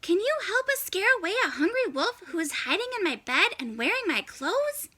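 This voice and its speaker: Woman as a high-pitched feisty storybook grandma. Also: big pitch swings, moderate pace, sharp tone, heavily acted. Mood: urgent.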